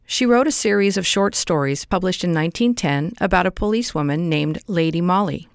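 A woman's voice: fairly low pitch, brisk, smooth, no room sound, studio quality. A woman announcer reading a scripted radio introduction.

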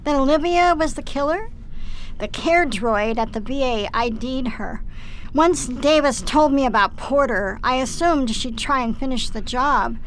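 High-voiced, loyal droid partner played by a woman. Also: measured pace, slight background noise, low-quality recording. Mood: caring, matter-of-fact. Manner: earnest, composed, caring. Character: loyal, dutiful female droid police partner. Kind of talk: audio drama acting.

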